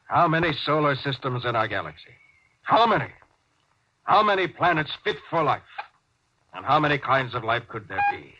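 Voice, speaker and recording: A man's voice: medium pitch, big pitch swings, moderate pace, sharp tone. A man playing a distrustful, short-tempered spaceship captain in a 1950s radio drama, with a commanding, brooding, theatrical delivery. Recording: muffled, noisy 1950s radio recording.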